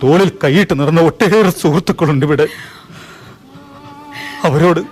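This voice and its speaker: A man's voice: a little high, moderate pace, darkish tone, natural voice. An actor playing a young man forced to flee his homeland, speaking with earnest anguish in a radio drama. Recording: music bed under the voice.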